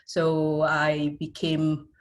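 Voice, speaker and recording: A middle-aged woman speaks as an interview guest, telling of her own experience. Her voice is low for a woman, and she has an Ethiopian accent. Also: completely flat delivery, very slow, a little hiss or hum. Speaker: interview guest, IT specialist and career mentor. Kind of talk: personal account in an interview.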